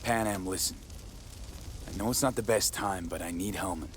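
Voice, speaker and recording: A man voicing a low, steady mercenary. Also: moderate pace, slight background noise, close to an everyday voice. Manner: calm, dry, plain-spoken. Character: steady male mercenary, dry and loyal. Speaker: game protagonist. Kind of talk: game cutscene voice acting.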